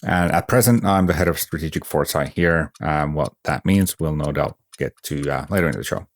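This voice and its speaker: A middle-aged Finnish man with an extremely low voice, answering a podcast interviewer. He sounds dry and matter-of-fact, with a self-deprecating edge. The sound is close and dead, with no room around it. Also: brisk, dry recording. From Finland. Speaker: guest.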